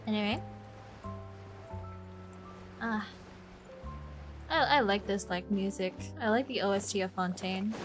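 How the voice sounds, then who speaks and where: A woman's voice: medium pitch, measured pace, pauses often. A woman streaming a video game live, reading its text aloud and chatting casually. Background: game music.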